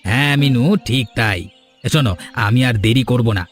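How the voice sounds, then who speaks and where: A man's voice: mid-range voice, moderate pace, faint music behind, close to an everyday voice. A man voicing a grumbling, envious, scheming village husband in a cartoon fairy tale. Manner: complaining, conniving.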